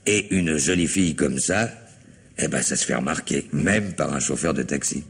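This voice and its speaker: Gravelly, low-voiced police chief. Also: male voice, normal speed, noisy background. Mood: methodical, reasoning. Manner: probing and insistent, thinking aloud. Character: gruff, methodical male police chief leading an investigation. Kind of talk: old French radio crime drama acting.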